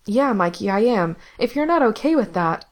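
A woman voicing an anxious young man who pleads with his brother in a high, strained voice. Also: lively intonation, normal speed, close to an everyday voice. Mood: pleading.

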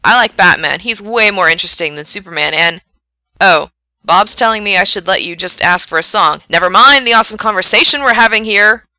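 Woman voicing an excitable, loud young male radio DJ. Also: medium pitch, a little fast, dry recording. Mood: excited, teasing. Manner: enthusiastic, teasing, opinionated. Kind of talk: radio DJ patter in an audiobook story.

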